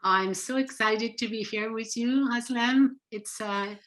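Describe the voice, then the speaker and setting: Interview guest: middle-aged woman, French accent, bright and earnest. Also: on the high side, a little slow. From France. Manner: earnest, emphatic, explains with conviction. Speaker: psychotherapist guest. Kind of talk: interview answers.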